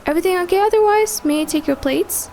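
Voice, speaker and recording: A woman's voice: very high-pitched, quick pace, dark tone, a little hiss or hum. A woman playing a polite, chatty small-town diner waitress in an audio drama. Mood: helpful, gossipy. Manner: polite, helpful, gossipy.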